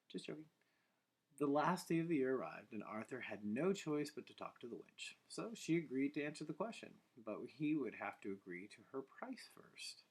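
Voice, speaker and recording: A man reading a bedtime story aloud, breaking off for playful, teasing asides to his listeners. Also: medium pitch.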